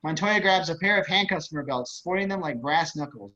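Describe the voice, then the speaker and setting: A man's voice: fairly high pitch, expressive, brisk, pushed and bright. A man reading out stage directions as narrator of a script table read over a video call. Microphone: computer on a video call. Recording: dull, lo-fi video-call audio.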